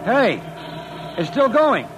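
An actor in vintage radio drama playing an eager, blunt, impatient scientist. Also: male voice, very high-pitched, sing-song, slow pace, heavily acted. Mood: excited. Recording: noisy old radio broadcast recording.

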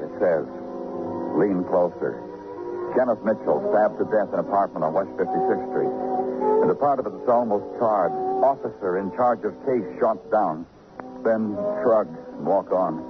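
An American actor playing a weary, hard-boiled police detective in radio noir style, terse and brooding. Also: male voice, sits low, lively intonation, moderate pace, over music. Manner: terse, brooding, clipped delivery. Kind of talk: 1950s radio noir acting and narration. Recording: muffled old radio recording.

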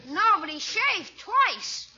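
A woman's voice: extremely high pitch, sing-song, takes it slowly, heavily acted. A woman playing a cheeky, slangy American schoolboy in a 1940s radio comedy, brash and wisecracking. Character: cheeky, slangy, grumbling young schoolboy nephew. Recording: muffled, noisy old radio recording.

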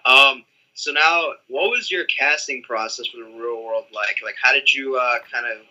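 A man's voice: medium pitch, moderate pace, sharp tone, lo-fi sound. A man hosting an interview, asking questions in a hesitant way with many ums. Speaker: interview host.

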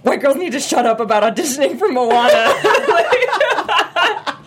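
A woman co-hosting a casual chat, wry and quick to laugh. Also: sits high, very animated, heavy background noise. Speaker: podcast co-host.

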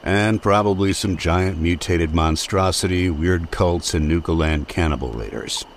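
An actor playing an earnest, dry, slightly self-pitying companion in a radio detective drama. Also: male voice, sits low, unhurried, a little hiss or hum. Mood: wry. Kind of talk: old-time radio drama acting.

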